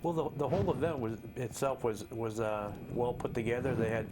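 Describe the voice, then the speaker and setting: A man on a wrestling talk show recalling an event in a halting way, with many restarts. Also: mid-range voice, noisy background. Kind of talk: casual recollection.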